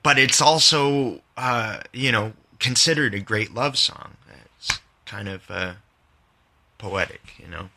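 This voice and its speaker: Slow, bright-voiced man sharing poetry. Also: mid-range voice. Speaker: podcast host and poet. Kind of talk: reading own poems with casual commentary.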